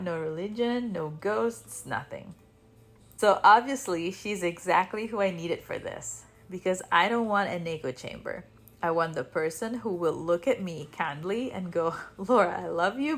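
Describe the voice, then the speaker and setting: A woman with an Italian accent, a native Italian speaker, hosting a podcast with casual personal storytelling in a chatty, self-deprecating manner. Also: on the low side. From Italy. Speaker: podcast host.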